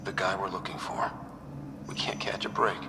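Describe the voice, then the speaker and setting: An actor playing an earnest young space explorer and leader in a sci-fi game, casual but resolute. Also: male voice, low-pitched, moderate pace. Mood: concerned. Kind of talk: cinematic sci-fi game acting. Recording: clear background ambience noise.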